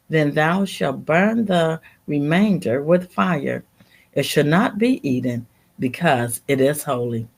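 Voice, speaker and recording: Female Bible reader, unhurried and halting, with lively rises and falls in pitch and a creaky edge. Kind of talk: reading scripture aloud.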